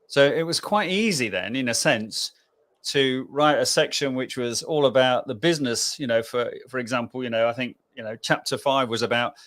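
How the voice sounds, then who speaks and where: A man's voice: mid-range voice, normal speed, fairly bright tone. A man in his sixties with an English accent, a guest on a podcast, talking chattily with fillers and tag questions. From England. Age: 60s. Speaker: guest author. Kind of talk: podcast interview.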